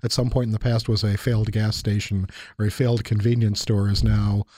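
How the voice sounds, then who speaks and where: A man's voice: fairly low pitch, level tone, fairly quick, background noise. A man co-hosting a casual chat, talking in a reflective, thoughtful way. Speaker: university lecturer and podcast co-host.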